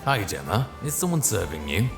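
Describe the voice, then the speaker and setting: A man's voice: fairly low pitch, big pitch swings, a little fast, vocal fry. A man playing a blunt but friendly older pub landlord in an audio drama. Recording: music behind the voice.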